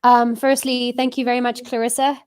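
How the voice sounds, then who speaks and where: A woman with an English accent hosting an interview over a video call, chatty and quick to laugh at herself. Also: on the high side, flat delivery, a little mellow, clean tone, no echo, studio quality. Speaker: show host. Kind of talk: casual interview chat.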